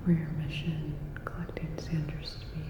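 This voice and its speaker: A woman playing a calm, attentive part-cyborg robot repair technician in an ASMR roleplay, whispering breathily in a soft, reassuring, clinical way. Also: low-pitched, moderate pace, background noise, close to an everyday voice. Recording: dull, echoey, long reverb.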